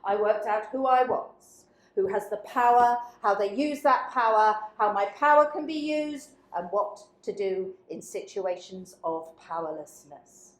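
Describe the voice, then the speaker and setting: A woman giving a deliberate, dramatic spoken-word reading, with emphatic phrase openings that fall away to quieter endings. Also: mid-range voice. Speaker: dramaturge reading a participant's written piece. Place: echoey indoor workshop room. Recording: echoey room.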